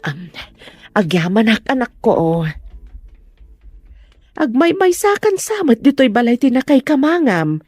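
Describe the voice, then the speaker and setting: An actress plays a village mother with wounded pride in an audio drama. She speaks in a measured, plaintive way with a northern Philippine accent. The sound is close and dry. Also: fairly high pitch, sing-song, a little mellow, no room sound. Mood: hurt, proud. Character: proud, wounded village mother of grown children.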